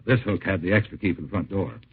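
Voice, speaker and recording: An actor playing a plainspoken, talkative used car dealer in a 1950s radio drama, with a husky voice and folksy, clipped sentences. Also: male voice, sits low, fast. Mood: matter-of-fact. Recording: tinny, muffled old radio recording.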